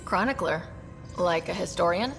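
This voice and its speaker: A woman's voice: fairly low pitch, lively intonation, moderate pace, vocal fry, a bit bright, soft background music. An actress playing a helpful, direct young female space explorer in a video game. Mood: helpful, curious.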